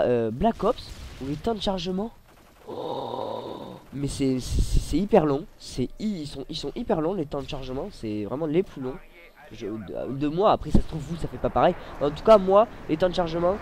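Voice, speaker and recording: Gaming YouTuber with a head cold, higher mellow voice, hesitant and rambling over his gameplay, some faint noise behind. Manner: rambling, hesitant, many fillers. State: has a cold. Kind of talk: casual gameplay commentary. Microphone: desk mic.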